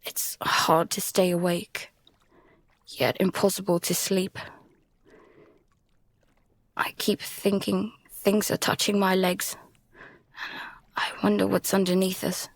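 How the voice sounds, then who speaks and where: A woman acting as a frightened young survivor telling an audio drama in the first person. The voice is breathy and husky, with a slow, halting delivery that sounds worn out. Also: mid-range voice, level tone, no room sound. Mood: hushed, drained dread. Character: frightened exhausted young survivor adrift at sea.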